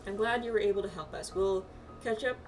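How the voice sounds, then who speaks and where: A woman voicing a worried but steady companion from a fantasy game, earnest and gently admonishing. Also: mid-range voice, fairly quick, close to an everyday voice. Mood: caring, firm. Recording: distant mic, music behind.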